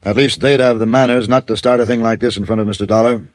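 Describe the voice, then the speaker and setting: Actor as a stern, snobbish wealthy patriarch. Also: male voice, mid-range voice, steady tone, fast talker, no echo, natural voice. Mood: stern, cold. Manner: formal, commanding, condescending. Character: stern wealthy businessman father, courteous but snobbish. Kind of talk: 1950s radio drama acting.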